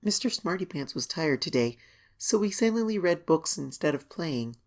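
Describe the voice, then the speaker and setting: Woman voicing an anxious young filly, low-toned. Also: sing-song, normal speed, darkish tone. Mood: uneasy. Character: anxious young filly pony, writing her diary. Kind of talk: dramatic first-person horror story reading.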